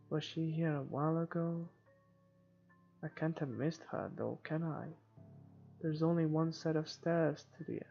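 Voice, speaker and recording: Slow, creaky-voiced man reading horror narration. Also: a little high, muffled recording. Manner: halting, stumbles and rereads. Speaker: gamer reading a visual novel aloud. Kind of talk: dramatic read-aloud of first-person horror narration. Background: game music.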